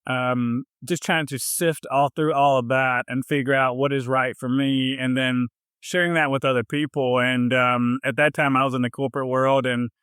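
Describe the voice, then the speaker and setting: A man with a Southern US accent telling his personal story in a casual interview, informal and a little halting in his phrasing. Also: mid-range voice, level tone, fairly bright tone, clean tone, no echo, studio quality. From southern United States. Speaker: health coach, podcast guest.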